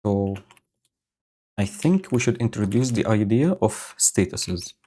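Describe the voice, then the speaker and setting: Slow, low-voiced man live-coding. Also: animated, mellow. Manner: slow, thinking aloud with many fillers. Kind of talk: casual live-coding commentary. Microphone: new home audio setup with noise gate.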